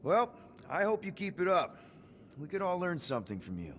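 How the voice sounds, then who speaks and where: A man's voice: high voice, very animated, moderate pace, a little hiss or hum. A man playing an easygoing but exasperated young space-adventurer leader in a video game, casual and wry. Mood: earnest.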